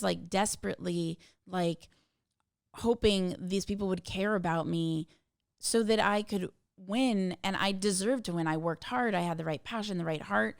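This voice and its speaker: Woman, low-pitched, gravelly fry, smooth resonant tone, earnest and passionate interview guest. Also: moderate pace, dry recording, studio quality. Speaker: tech community founder, podcast guest. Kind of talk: conversational interview answers. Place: hotel suite.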